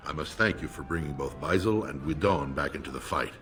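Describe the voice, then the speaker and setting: Loyal noble's retainer, deep voice, polite formal delivery, fantasy game, light music behind. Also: male voice, fairly quick, a bit bright. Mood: pleased, courteous. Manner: formal, deferential. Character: courteous, loyal aide to a lord.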